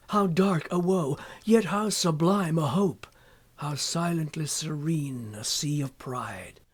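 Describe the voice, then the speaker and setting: A man with a fairly high voice gives a dramatic poetry reading. He takes it very slowly, with impassioned phrasing and frequent pauses, often sinking into a hushed delivery. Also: slightly rough. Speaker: poetry reader.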